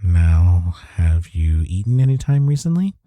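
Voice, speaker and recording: A man playing a devoted, gentle boyfriend in an intimate comfort audio roleplay, soft-spoken and reassuring. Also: sits low, sing-song, unhurried, dry recording. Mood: tender, reassuring.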